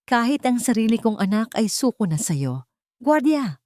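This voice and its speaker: A woman's voice: fairly high pitch, big pitch swings, normal speed, a little mellow, no room sound, clean studio sound, pitched above the performer's own voice. A woman narrator voicing a cruel, wealthy older matriarch in a story, cold and commanding. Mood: triumphant, commanding. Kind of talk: storybook drama dialogue.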